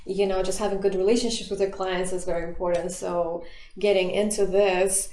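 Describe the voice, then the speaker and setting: A woman from Russia, a business owner answering interview questions in a chatty, animated way, often laughing. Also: mid-range voice, fairly quick. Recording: echoey room.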